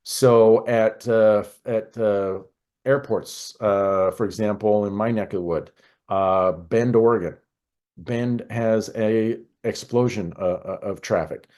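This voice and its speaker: An American man explaining things conversationally as an expert interview guest, in a halting way with frequent pauses and repetitions. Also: on the low side, steady tone, darkish tone. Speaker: podcast guest, air traffic control expert.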